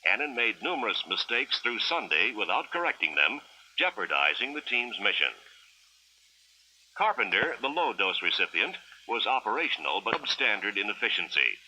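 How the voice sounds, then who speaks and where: A man with an American accent narrates a 1960s government educational film in a formal, clinical way. His voice is forceful and piercing with a husky grain, and his pitch moves in lively swings over an old film soundtrack. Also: moderate pace, poor audio.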